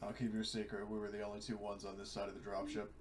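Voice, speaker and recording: A man's voice: lowish voice, completely flat delivery, fast, slight background noise. A man playing a tabletop game, chatting casually with the group about his moves and rules.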